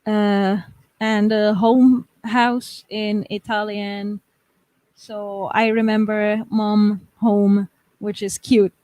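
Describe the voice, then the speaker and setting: A woman's voice: medium pitch, flat delivery, drawn-out words, warm tone, dry recording. A young woman from the United States hosting a live stream, chatting casually and reading viewer comments aloud, often laughing and restarting her sentences.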